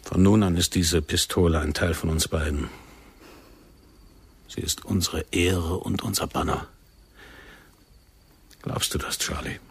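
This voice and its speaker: Solemn, husky low-voiced militant. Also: male voice, steady tone, a little slow, long pauses, exaggerated voice. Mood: solemn, commanding. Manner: grave, insistent, ceremonious. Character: solemn, commanding male agent posing as a fervent militant lover. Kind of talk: German radio play acting.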